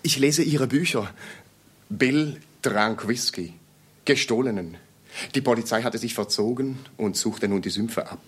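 Husky, fawning, meek little admirer. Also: male voice, fairly low pitch, fairly bright tone, full character voice. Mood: reverent reciting. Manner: hesitant, stammering, deferential. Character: meek, fawning, persistent male Swiss admirer, prim and cautious. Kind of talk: German radio play acting.

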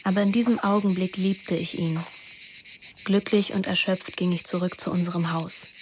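A woman's voice: fairly low pitch, fairly even intonation, moderate pace, background noise, lightly acted. An actress playing a wilful, moody teenage girl who narrates her summer intimately in a radio drama. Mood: tender, confessional. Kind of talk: first-person radio drama narration and dialogue. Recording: music behind the voice.

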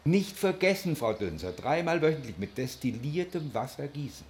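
An actor playing an older male flower shop owner in a radio play, cool and politely brisk. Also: fairly high pitch, sing-song. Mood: polite, busy. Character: cool old bonsai florist, politely brisk shopkeeper. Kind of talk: radio play dialogue, on the telephone.

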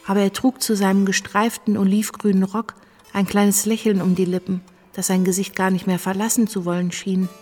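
An actress as a measured, observant woman narrator. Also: medium pitch, level tone, darkish tone, faint music behind, lightly acted. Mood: reflective. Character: observant wife of a revolutionary, recounting events. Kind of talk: first-person narration in radio drama.

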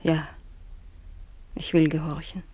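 Breathy, anguished emperor's daughter. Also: female voice, low voice, flat delivery, warm tone, dry recording, heavily acted. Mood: wounded, resigned. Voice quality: breathy, often dropping to a whisper. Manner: tender, anguished, halting. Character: devoted, frightened young daughter of a Roman emperor. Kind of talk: theatrical German radio drama acting.

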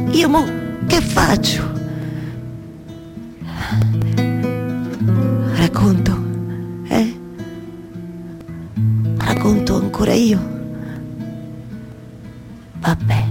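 An actress playing a weary, talkative weaver wife in a theatrical monologue, speaking colloquially with a Roman accent and repeating phrases haltingly. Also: female voice, a little high. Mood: anguished. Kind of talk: theatrical monologue for radio theatre with live music.

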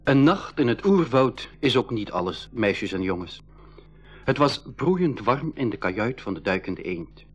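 A man's voice: mid-range voice, big pitch swings, a little slow, husky. A man playing an eager young boy adventurer who narrates his journey in a school radio drama. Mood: measured, wondering. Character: eager boy adventurer, Marleen's younger brother, telling the tale. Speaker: narrator and character in a radio play. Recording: dull 1980s radio recording.

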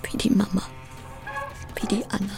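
A woman playing a sleepless, overwrought actress close to breakdown in a theatrical monologue, intense and fragmented, often slipping into breathy whispers. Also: moderate pace, music in the background, close to an everyday voice. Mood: fearful, hushed. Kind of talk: theatrical audio drama monologue.

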